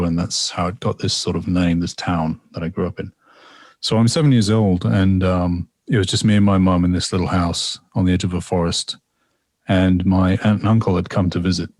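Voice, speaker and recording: A man's voice: deep voice, steady tone, fairly quick, darkish tone, no echo. A man from England, a guest storyteller talking casually on a podcast, rambling and reflective with many repetitions and fillers. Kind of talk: casual podcast conversation, telling a personal story.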